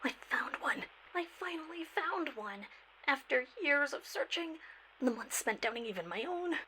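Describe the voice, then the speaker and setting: An actress playing an earnest, awed field researcher, creaky-voiced, narrating an expedition log in an audio drama with precise, formal wonder. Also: female voice, high-pitched, animated, moderate pace, bright, forceful tone, slight background noise, poor audio. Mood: awed relief.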